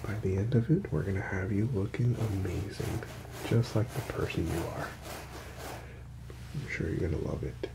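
A man in his thirties speaking softly and soothingly in an ASMR hairdresser roleplay, hushed and reassuring. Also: sits low, normal speed, gravelly, noisy background. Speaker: ASMR performer playing a hairdresser. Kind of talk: ASMR roleplay, soft-spoken. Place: small indoor room with salon products.

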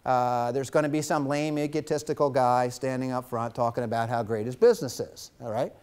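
A man in his fifties gives a guest lecture in a college classroom, his voice at an ordinary middle pitch. He talks in an animated way, gesturing and teasing the students while he tells stories. The sound comes through a lapel mic. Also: fairly quick, clean tone.

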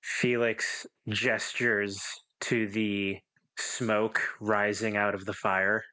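A man's voice: lowish voice, level tone, drawn-out words, pushed and bright, low-quality recording. A man narrating his part of a scene in a tabletop roleplaying podcast. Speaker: game master or player in actual-play podcast.

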